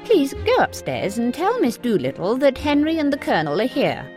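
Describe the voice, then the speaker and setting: A woman playing a composed, sharp-tongued upper-class mother of a grown son in a dramatised storybook, firm and scolding. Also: high voice, wildly swooping pitch, moderate pace. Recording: music behind the voice.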